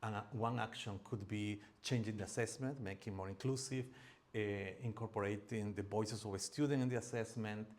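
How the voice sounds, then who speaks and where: A man's voice: fairly low pitch, fairly even intonation, brisk, a little mellow. Hesitant Peruvian-accented man presenting. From Peru. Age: middle-aged. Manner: hesitant, many fillers and restarts. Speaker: academic presenter. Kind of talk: seminar presentation.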